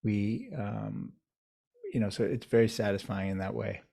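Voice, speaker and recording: A man, a urologist being interviewed, answering in a measured, thoughtful storytelling way. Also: on the low side, fairly even intonation, gravelly, mellow. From United States, New York area. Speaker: urologist guest.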